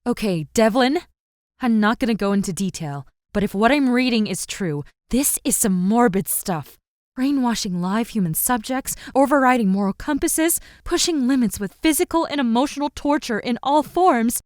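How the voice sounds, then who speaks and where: A woman playing a caring, cautious and scholarly young woman in an audio roleplay drama, with a gentle, pensive manner. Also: on the high side, animated, normal speed, no echo, studio quality, natural voice. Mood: troubled.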